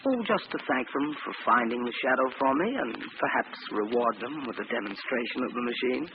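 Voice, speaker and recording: An actor playing a cold, condescending villainous scientist in an old-time radio mystery. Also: male voice, mid-range voice, expressive, a little fast, natural voice. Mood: calculating. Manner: smooth-talking, condescending. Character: cold, scheming villainous male scientist, smugly menacing. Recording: muffled, noisy old radio recording.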